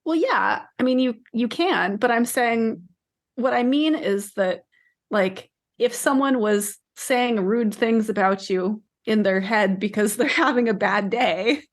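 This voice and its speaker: A woman co-hosting a book podcast, talking casually in a measured, explanatory way. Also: fairly high pitch. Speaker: podcast co-host discussing books.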